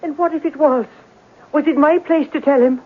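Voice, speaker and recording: A woman's voice: high-pitched, fairly even intonation, normal speed, natural voice. An actress playing a nervous, evasive middle-aged landlady with colloquial, uneducated speech in an old-time radio drama. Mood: agitated, defensive. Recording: tinny band-limited vintage radio recording.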